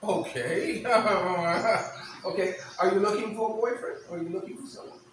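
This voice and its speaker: Joking host with a high voice in an echoey room. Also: male voice, distant mic, a little hiss or hum. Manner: joking, teasing, halting with many fillers. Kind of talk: casual mock late-night interview. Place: room with a fan running.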